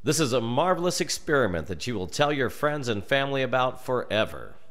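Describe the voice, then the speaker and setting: A man's voice: mid-range voice, big pitch swings, a little fast, a bit bright, pitched above the performer's own voice. An American man voicing an enthusiastic male school science teacher who proclaims grandly in a storybook reading. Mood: excited, inviting.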